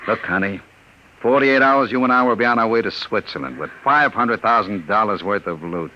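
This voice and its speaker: Smooth, cruel gangster. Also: male voice, fairly quick, sharp tone, a little hiss or hum. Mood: menacing. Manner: smooth, menacing, often drops to a low murmur. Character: scheming smooth-talking male gangster, cold and cruel. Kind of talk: 1950s radio drama acting. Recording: dull lo-fi old radio recording.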